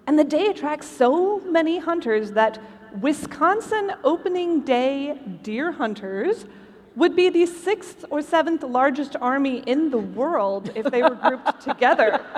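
Woman stage host, high voice, scripted comic presentation, recorded live in a big reverberant hall. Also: animated, unhurried, darkish tone. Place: large convention hall stage, live show.

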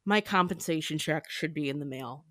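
A woman's voice: deep voice, normal speed, a bit bright. A woman co-hosting a podcast in casual, playful chat, often laughing. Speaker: podcast co-host.

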